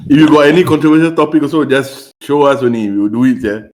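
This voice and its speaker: A man's voice: fairly high pitch, fast. A man with a Singaporean English accent, co-hosting a podcast in easygoing casual chat. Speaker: podcast co-host.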